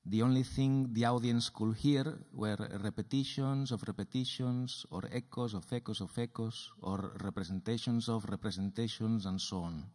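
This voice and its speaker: Fields: Sex: man. Style: deliberate lecture performance on a hall stage. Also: medium pitch, steady tone, a little mellow. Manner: deliberate, repetitive phrasing. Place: dark theatre stage, seated at a table. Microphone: stand mic. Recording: reverberant hall.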